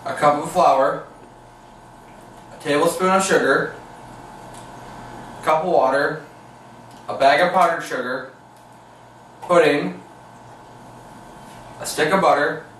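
A man's voice: on the high side, steady tone, takes it slowly, pauses often, a bit bright. Teenage boy, distant noisy kitchen recording. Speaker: cooking video presenter. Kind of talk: step-by-step recipe instruction. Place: home kitchen. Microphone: camera mic at a distance. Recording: distant camera mic, roomy, noisy background.

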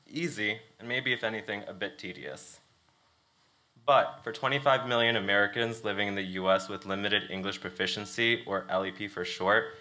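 Young man, slightly low bright voice, engaging lectern presenter. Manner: engaging, asks audience questions. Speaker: student presenter. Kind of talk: thesis presentation talk. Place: auditorium stage at lectern. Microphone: lectern mic.

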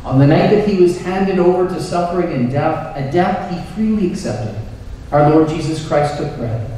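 Male clergyman for formal Eucharistic prayer: solemn, slightly high voice, distant in a very echoey hall. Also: lively intonation, normal speed, warm tone. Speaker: Anglican priest. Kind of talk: liturgical prayer recitation. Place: inside a church at the altar. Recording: echoey church hall, distant, faint noise.

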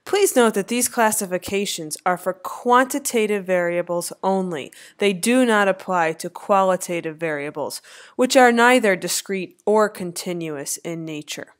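A woman in her thirties narrating a slideshow lecture as an instructor, with clear, lively teacherly emphasis. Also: mid-range voice, normal speed. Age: 30s.

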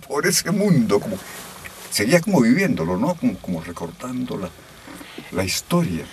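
An older man reminiscing nostalgically in a conversational interview. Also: mid-range voice. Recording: faint background noise.

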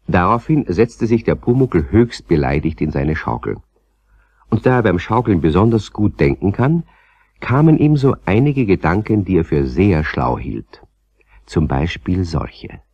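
A man narrating a children's radio play as a calm, gently amused storyteller with a husky voice. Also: deep voice, measured pace, dry recording, lo-fi sound, full character voice. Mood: storytelling.

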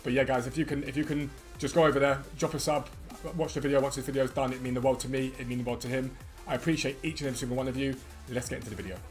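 A man in his thirties with a slightly croaky voice, chatting quickly and eagerly to viewers as a gaming YouTuber, recorded at a desk in a room at home. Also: mid-range voice, fairly even intonation, faint music behind. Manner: rapid, chatty, enthusiastic. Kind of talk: energetic casual chat to viewers.

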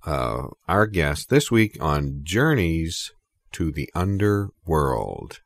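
A man improvising a polite, bemused talk show host in a comedy sketch, hesitant and deadpan. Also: very deep voice, slow, no echo, clean studio sound, exaggerated voice.

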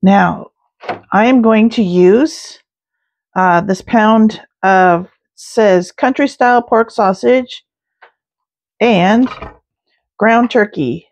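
A woman talks her way through a recipe in her home kitchen, unhurried and easygoing, pausing with little fillers between steps. Her voice sits at an ordinary middle pitch, and she takes her time with every word. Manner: slow, relaxed step-by-step talk with fillers. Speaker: home cook, channel host. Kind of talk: casual cooking narration.

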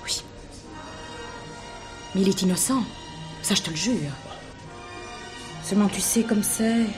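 An actress playing a wily, coaxing Parisian prostitute in a French radio play, speaking colloquially. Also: female voice, medium pitch, measured pace, over music, close to an everyday voice. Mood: pleading, coaxing.

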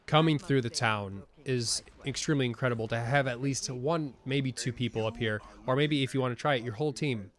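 A man casting a tournament match of a video game, with excited play-by-play and casual asides, sniffling from a cold. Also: medium pitch, fairly quick, hardly any pauses. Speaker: esports caster. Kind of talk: game commentary.

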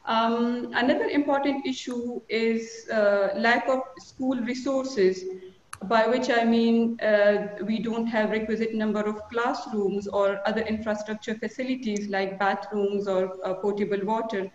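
A woman in her forties with a Pakistani accent, giving a measured conference talk full of figures from a small office, with fillers between numbers. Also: fairly high pitch, steady tone, slight background noise. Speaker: conference panelist. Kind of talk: formal presentation with statistics. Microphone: video call webcam. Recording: echoey lo-fi video call.

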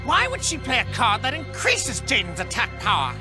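A woman's voice: fairly high pitch, wildly swooping pitch, normal speed. A woman voicing a plucky youngster in an English anime dub. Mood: puzzled. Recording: music under film clip.

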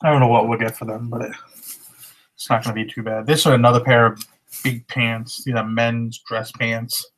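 A man in his thirties casually showing his thrift finds at home, in a relaxed, matter-of-fact way. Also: medium pitch, moderate pace. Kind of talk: casual haul vlog. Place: living room at home. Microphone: webcam at desk.

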